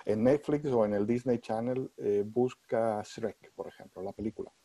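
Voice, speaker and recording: A man from Spain with a husky, darkish voice explains ideas at an easy conversational pace. He often pauses and restarts his sentences. The sound is close and dry, with no room. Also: on the low side, mellow, dry recording. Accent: neutralized Spanish, mixing Spain and Mexico. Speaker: interviewed professor. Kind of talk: relaxed conversational interview.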